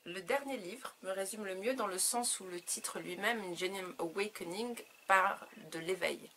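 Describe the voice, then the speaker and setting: A woman speaking her native French as an author in an interview, reflective and halting as she searches for words. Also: medium pitch, background noise. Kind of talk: reflective interview answers about own work.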